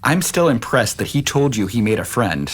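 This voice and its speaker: A man's voice: mid-range voice, big pitch swings, normal speed, a little hiss or hum, lightly acted. An actor playing a supportive but doubtful family man, plain-spoken and questioning, in an audio drama. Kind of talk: naturalistic audio drama dialogue.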